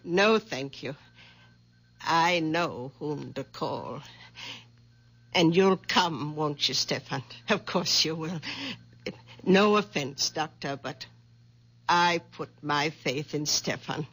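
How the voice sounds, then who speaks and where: A woman's voice: deep voice, takes it slowly, long pauses, a bit bright, husky, exaggerated voice. An actress playing a devout older woman who trusts a healer over the doctor, in a 1970s radio drama. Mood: fervent.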